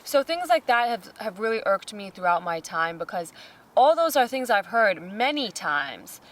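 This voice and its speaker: A woman's voice: mid-range voice, very animated, normal speed, recorded from a distance. A young adult woman from the United States hosting a podcast, venting emphatically in casual chat. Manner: emphatic, venting, pointed asides. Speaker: podcast host.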